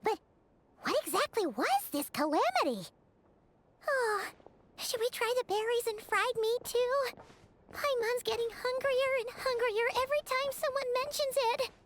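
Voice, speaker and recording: A woman voicing a small, excitable, childlike fairy companion in a video game, with a squeaky, expressive voice. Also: unhurried. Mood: chatty, curious. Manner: refers to herself in the third person, expressive. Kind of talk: video game character dialogue.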